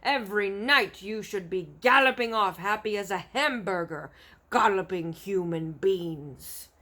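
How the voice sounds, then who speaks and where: A woman's voice: medium pitch, unhurried, pushed and bright. A woman voicing a brutish man-eating male giant in a storybook, roaring and gloating in mangled grammar. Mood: furious, insulting.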